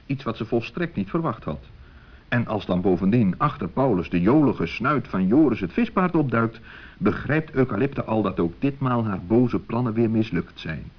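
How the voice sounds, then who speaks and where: A man's voice: lowish voice, lively intonation, normal speed, slight background noise. A man narrating a scripted children's story as the narrator of a radio play. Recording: dull, muffled old radio recording.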